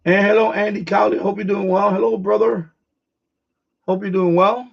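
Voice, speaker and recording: Man, New York accent, hoarse, middle-aged, gravelly, dull lo-fi audio, rambling stream host. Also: sits high, moderate pace. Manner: repeats and restarts phrases, animated sports fan. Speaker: livestream host. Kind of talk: casual rambling chat.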